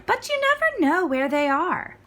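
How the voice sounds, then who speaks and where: A woman's voice: very high-pitched, sing-song, normal speed, fairly bright tone, slight background noise, pitched above the performer's own voice, full character voice. A woman voicing a polite, earnest young boy turtle in a children's storybook. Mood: polite, considering. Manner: polite, pleading.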